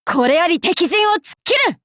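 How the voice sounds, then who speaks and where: A woman playing a brash, cocky young feudal warlord in an anime game, with rough, masculine, archaic and boastful speech. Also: very high voice, lively intonation, brisk, fairly bright tone, no room sound, clean studio sound. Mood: confident, eager. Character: brash, cocky young feudal warlord, self-styled fool, fiercely loyal. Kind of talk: anime game character voice acting.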